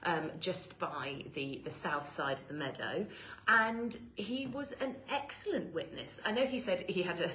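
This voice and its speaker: A woman's voice: on the low side, expressive, unhurried, bright, forceful tone. A woman, co-hosting a casual live-stream recap and praising people with enthusiasm. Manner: enthusiastic, gushing, laughs often. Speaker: campaign co-host. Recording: echoey room, faint hiss.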